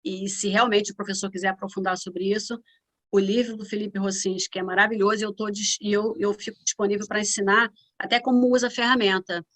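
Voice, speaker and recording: A woman's voice: medium pitch, steady tone, brisk, a bit bright. Woman, Brazilian accent, conversational, frequent tag questions, video call talk. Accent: Brazilian Portuguese. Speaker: guest speaker and cross-cultural consultant. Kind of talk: conference talk.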